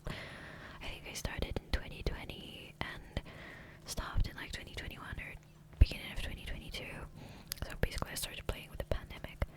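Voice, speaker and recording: A woman whispering softly and breathily in a casual, rambling ASMR style, gentle and unhurried, close to the microphone. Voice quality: soft breathy unvoiced whisper. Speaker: ASMR gaming content creator and host. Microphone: close USB condenser microphone.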